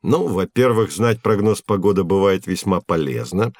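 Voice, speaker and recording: Patient physics prof teaching kids, clear explainer style, audio drama, dry close sound. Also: male voice, sits low, lively intonation, normal speed, darkish tone, dry recording. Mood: patiently explaining. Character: patient male physics professor demonstrating experiments to children. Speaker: actor in an educational audiobook.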